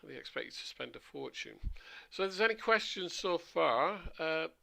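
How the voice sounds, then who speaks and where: A man streaming a flight simulator, chatting casually with rambling asides, his voice a little hoarse. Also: a little high, very animated, moderate pace, pushed and bright. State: slightly hoarse. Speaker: flight sim livestreamer. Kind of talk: casual livestream commentary.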